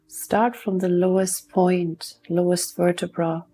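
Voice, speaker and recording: A woman leading a guided mindfulness meditation in a soft, soothing manner, unhurried and leaving long pauses between instructions. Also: on the low side, little pitch movement.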